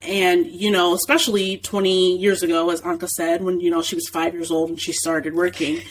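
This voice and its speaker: A woman's voice: on the low side, little pitch movement, moderate pace, slight background noise, poor audio. Chatty woman podcast host. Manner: friendly, chatty, gets stuck on a word and asks the guest for it. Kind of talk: interview host, casual chat.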